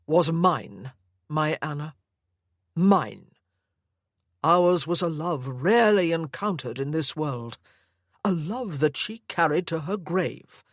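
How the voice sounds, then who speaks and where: A woman reading the part of an obsessive older gentleman who has kidnapped his supposed daughter. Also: deep voice, slightly rough, dry recording, clean studio sound, full character voice. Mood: insistent.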